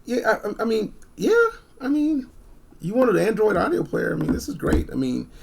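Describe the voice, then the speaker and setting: A man giving a casual, unscripted gadget review, blunt and informal, rambling and repeating phrases for emphasis, with a gravelly, husky voice. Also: sits high, wildly swooping pitch, normal speed. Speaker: product reviewer.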